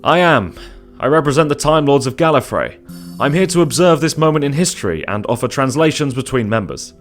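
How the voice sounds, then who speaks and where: A man playing an older, cynical Time Lord ambassador in an audio drama, formal and measured in manner. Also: medium pitch, expressive, faint music behind, lightly acted. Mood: formal, assured.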